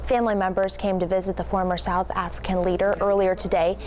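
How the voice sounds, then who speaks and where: A woman's voice: mid-range voice, level tone, quick pace, a little mellow. A woman anchoring a television newscast, reading scripted stories in a presenter's delivery. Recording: clearly noisy TV news aircheck.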